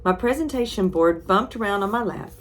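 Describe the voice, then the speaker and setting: A woman's voice: mid-range voice, expressive, a little fast. A woman who is an author introduces and reads a middle-grade novel aloud.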